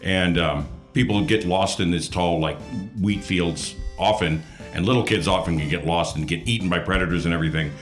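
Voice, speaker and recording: A man with a deep voice chats as a player on a tabletop gaming podcast. He talks haltingly, working out ideas aloud, over faint music. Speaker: podcast cast member, tabletop RPG player. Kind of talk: casual chat, describing his game character.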